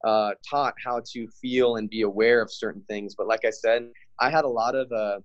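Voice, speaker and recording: A man's voice: lowish voice, steady tone, moderate pace, dry recording, dull audio. A man in his mid-twenties from Hawaii with an American accent, explaining things earnestly in casual, slangy interview talk. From Hawaii, Big Island, United States. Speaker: podcast guest, fitness and bodywork practitioner.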